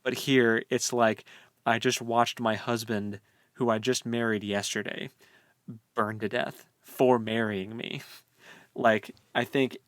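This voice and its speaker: A man's voice: mid-range voice, fairly even intonation, takes it slowly, no echo, studio quality. A man co-hosting a book discussion in a casual chat style, thinking aloud in a hesitant way. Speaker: podcast co-host.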